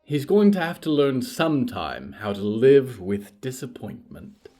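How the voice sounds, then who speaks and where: A man's voice: mid-range voice, very animated, a little slow, mellow. A man voicing a proud, protective, irritable man in an audio horror drama, speaking in an insistent, formal way. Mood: resigned.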